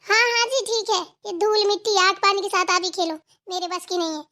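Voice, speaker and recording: A woman acts out a spoiled young wife in a family cartoon. The voice is extremely high and girlish. She is fussy and stubborn, answering back in a whiny, snippy way. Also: fairly quick, pushed and bright. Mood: disgusted, stubborn. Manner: petulant, snippy back-talk. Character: whiny, stubborn, lazy young daughter-in-law, complaining and fussy. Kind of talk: amateur Hindi family cartoon dialogue.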